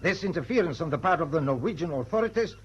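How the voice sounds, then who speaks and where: An actor playing a stern, impatient German ship's captain in a radio drama, formal and commanding, giving clipped orders. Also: male voice, a little high, animated, quick pace, breathy edge. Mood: indignant. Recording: dull lo-fi radio recording, faint noise.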